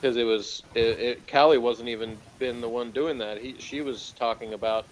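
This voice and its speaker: A man chatting casually as a review co-host, speaking in a hesitant, halting way. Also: mid-range voice, steady tone, a little hiss or hum, rough recording. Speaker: podcast co-host reviewing audio dramas.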